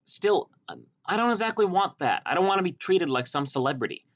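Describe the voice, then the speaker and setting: A man's voice: high-pitched, sing-song, fairly quick, fairly bright tone, voice pushed up, exaggerated voice. A man voicing a modest, socially anxious young swordsman in an audiobook, with a wry, hesitant manner. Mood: reluctant.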